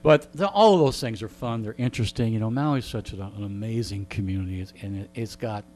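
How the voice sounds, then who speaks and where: A man's voice: on the low side, fairly quick, a little mellow, slight background noise. A man talking casually as a radio interview guest, telling anecdotes with a wry, joking manner. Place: radio studio.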